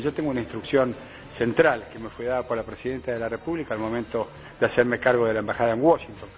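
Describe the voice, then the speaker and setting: A male official speaks fluently and formally in a clip played on the news. He talks quickly at a middle pitch, with faint music under his voice. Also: low-quality recording. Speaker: ambassador interviewed in a news clip. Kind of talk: recorded statement for the news.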